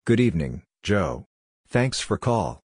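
Deep-voiced man reading practice phrases. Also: big pitch swings, darkish tone, husky, no room sound, clean studio sound. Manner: deliberate, spelling out letters and call signs one by one. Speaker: narrator of a Morse code practice drill. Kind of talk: scripted read-out of short practice phrases.

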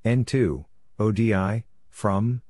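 Man, slow delivery, dry close sound, clipped phrases, scripted practice narration. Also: lowish voice, darkish tone, no echo. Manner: deliberate, clipped short phrases. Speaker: Morse code practice narrator. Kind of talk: scripted readout of radio call signs and phrases.

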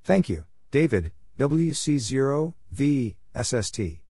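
A man reading out radio call signs and short exchanges letter by letter in clipped, measured phrases, as a scripted announcer. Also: medium pitch, big pitch swings, mellow, no echo, studio quality. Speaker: Morse code practice announcer.

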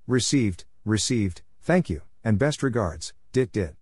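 A man narrating scripted radio exchanges for Morse code practice, reading in a measured way and spelling out call signs letter by letter. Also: on the low side, expressive, darkish tone, a little hiss or hum.

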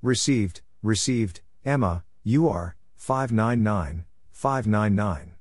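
A man narrating Morse code practice, reading scripted radio exchanges and spelling out letters and numbers deliberately. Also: fairly low pitch, expressive, darkish tone.

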